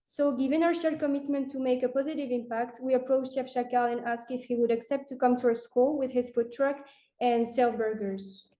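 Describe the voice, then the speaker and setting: A teenage girl from France giving a prepared student presentation in a measured, deliberate way. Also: high-pitched, steady tone, dark tone. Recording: roomy echo.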